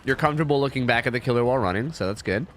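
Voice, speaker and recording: A man's voice: mid-range voice, lively intonation, racing, fairly bright tone, a little hiss or hum. A young man with a cold, commentating gameplay casually, sniffly, profane and rambling with lots of restarts. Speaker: gameplay reviewer.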